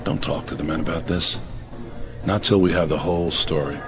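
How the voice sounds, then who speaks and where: An actor playing an earnest, uncertain army major in a radio drama, speaking in a measured, deferential, thoughtful way. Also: male voice, a bass voice, fairly even intonation. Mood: calm, guarded. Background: music.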